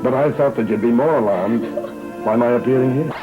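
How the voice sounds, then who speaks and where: A man playing a grave, measured older father, long thought dead, in a 1960s gothic soap opera. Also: mid-range voice, narrow, tinny audio, lightly acted. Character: solemn long-lost father back from presumed death. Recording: old TV soundtrack with music.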